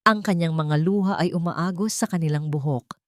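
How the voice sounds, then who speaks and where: Low-voiced Filipino woman narrating a sentimental story. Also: moderate pace, no room sound, clean studio sound. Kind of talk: scripted narration of a sentimental romantic drama story.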